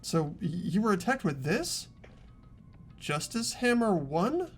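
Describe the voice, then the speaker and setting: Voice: mid-range voice, sing-song, slow pace, soft background music. A young performer voicing an earnest, anxious teenage boy who narrates and questions in a dramatic video game. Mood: concerned, puzzled.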